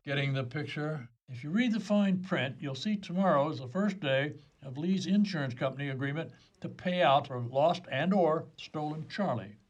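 A man's voice: fairly high pitch, normal speed, lo-fi sound, close to an everyday voice. A man playing a wry, commanding police detective in a comedy whodunit radio play, with a theatrical, declarative delivery. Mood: stern, triumphant.

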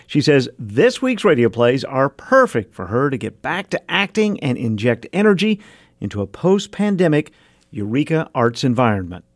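A man's voice: medium pitch, sing-song, normal speed, no echo, clean studio sound. A man hosting a radio show, delivering scripted narration. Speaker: radio host and reporter.

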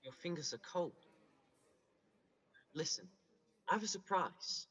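Guy acting a proud young businessman, formal, very animated pitch, echoey hall, radio play. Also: slow pace, breathy edge, highs cut off, exaggerated voice. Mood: self-assured, cool. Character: proud, businesslike young man, young Ebenezer Scrooge. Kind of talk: stage-style radio drama acting.

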